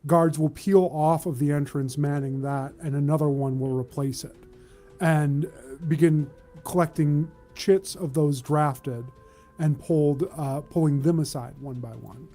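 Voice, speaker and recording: A man acting as game master, improvising tabletop narration in a measured, descriptive way with mid-sentence pauses. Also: fairly high pitch, steady tone, mellow, soft background music.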